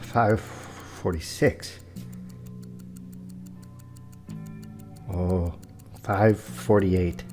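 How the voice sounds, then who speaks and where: Man playing a slow, stammering, anxious broker. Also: sits low, steady tone, darkish tone, soft background music. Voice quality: stammering on consonants. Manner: formal, halting, deferential. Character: large muscular male property broker, meek, anxious, courteous. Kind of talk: audio drama acting.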